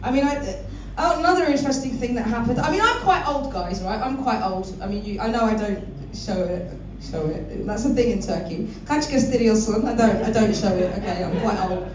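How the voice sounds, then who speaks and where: A woman's voice: on the high side, fairly quick, hardly any pauses. A woman with a London accent doing a crude, chatty stand-up comedy set in a club, swearing freely. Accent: London English. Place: comedy club stage with live audience. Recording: echoey club room, mic at a distance, audible room noise.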